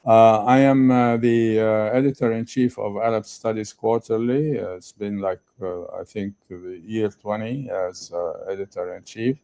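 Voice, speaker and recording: Older man, from Lebanon, smooth resonant voice, halting pauses, conference interview. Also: fairly low pitch, steady tone, mellow. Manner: halting, many fillers. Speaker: guest speaker, retired professor.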